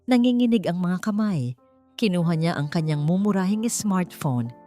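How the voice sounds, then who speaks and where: A woman's voice: fairly low pitch, lively intonation, normal speed, mellow. A woman with a Filipino accent reading a dramatic story as an audiobook narrator. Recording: music bed under the voice. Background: background music.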